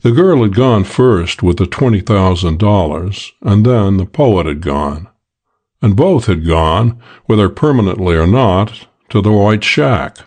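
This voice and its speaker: A man reading a hardboiled detective audiobook as scripted narration, in a measured, matter-of-fact way. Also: fairly low pitch, expressive, a little mellow.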